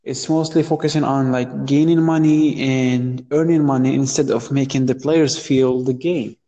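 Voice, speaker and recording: A man's voice: mid-range voice, fairly even intonation, normal speed, warm tone, highs cut off. Hesitant young Moroccan man, reverberant sound. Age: 20s. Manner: hesitant, searching for words. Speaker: interview guest. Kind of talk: casual interview conversation.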